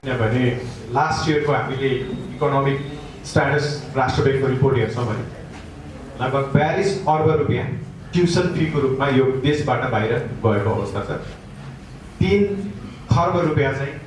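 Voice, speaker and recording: Fast-talking Nepali-accented man, echoey hall. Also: medium pitch, far from the mic, a little hiss or hum. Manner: rapid, mixing in English terms. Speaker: guest speaker, education advisor. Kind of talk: seminar presentation.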